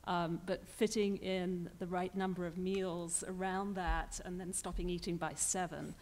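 A middle-aged woman speaking on a conference panel in a measured, matter-of-fact way into a stand microphone. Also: lowish voice, flat delivery, darkish tone, slight background noise. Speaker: patient panelist. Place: conference hall stage panel.

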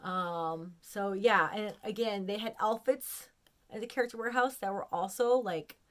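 A woman in her forties with an American accent, chatting casually and excitedly to camera in a room at home. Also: medium pitch, moderate pace. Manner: excitable, gushing. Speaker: vlogger showing a haul of collectibles. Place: small room at home, indoors.

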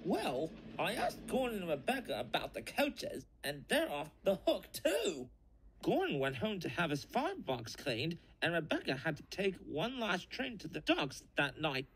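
A man's voice: on the high side, wildly swooping pitch, normal speed, fairly bright tone, husky, exaggerated voice, rough recording. A man voicing an eager, naive young diesel engine sidekick in a cartoon dub. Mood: chirpy. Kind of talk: cartoon fan dub acting.

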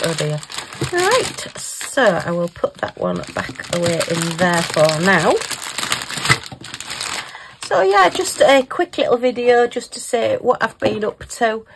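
A woman's voice: medium pitch, gravelly, background noise. A woman chatting casually in a crafting vlog, giggly and self-mocking, recorded at her home craft table. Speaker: crafting vlogger.

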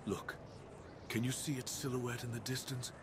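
A man playing a brooding, cursed dire wolf master in an ASMR roleplay, speaking in a solemn, deliberate, theatrical way with formal wording. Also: medium pitch, steady tone, background noise, lightly acted. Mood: solemn, reassuring. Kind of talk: ASMR roleplay, dramatic monologue.

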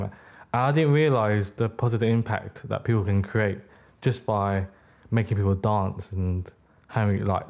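A young man born and raised in the UK talks as a podcast guest, his voice sitting a little low. He rambles and restarts his sentences often, correcting himself as he goes. He speaks close to a studio boom mic in a small room. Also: darkish tone. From United Kingdom. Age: young adult. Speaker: guest, record label founder. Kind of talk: casual interview. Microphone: boom mic on arm, headphones.

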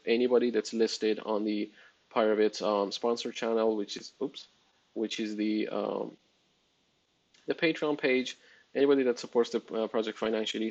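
A man with a low, gravelly voice whose first language is Farsi, talking through a screen-share in a loose, rambling way. He leans on filler phrases as he goes. The audio is a little muffled and lo-fi. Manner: relaxed, rambling, many fillers and "sort of like". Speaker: software developer presenting a release. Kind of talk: casual screen-share walkthrough.

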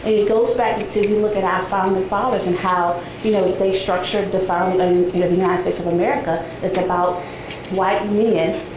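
A woman in her forties giving an academic talk to a seated audience, soft-spoken and conversational with frequent ums, heard from a distant camera in a large seminar room. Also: medium pitch, fairly even intonation, moderate pace, few pauses. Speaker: guest lecturer. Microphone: far camera, no visible mic. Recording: echoey room, noisy background.

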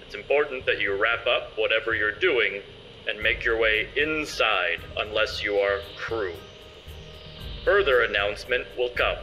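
A man voicing a firm, formal ship's captain giving measured announcements in a fantasy role-play podcast. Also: lowish voice, pushed and bright, poor audio.

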